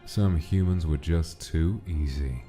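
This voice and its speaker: A male actor plays a measured narrator of a gangster monster story. His deep, mellow voice delivers scripted dramatic narration over faint music. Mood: measured, ominous.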